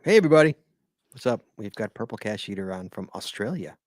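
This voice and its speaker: An American man hosting a podcast, joking around in quick, lively banter with his guests. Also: on the low side, no echo, studio quality. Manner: lively, jokey, fast-paced. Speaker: podcast host.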